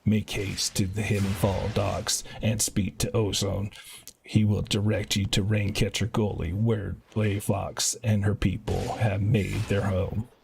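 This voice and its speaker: A man's voice: on the low side, little pitch movement, unhurried. A man live-streaming a game, casually commenting and reading quest dialogue aloud, with some noise in the recording. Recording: clearly noisy home stream audio.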